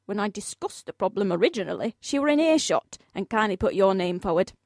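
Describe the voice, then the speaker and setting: A woman's voice: mid-range voice, sing-song, fairly quick, darkish tone, no echo, studio quality. A woman playing a polite, breezily demanding office boss in a radio play, speaking in a formal, officious way. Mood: politely matter-of-fact.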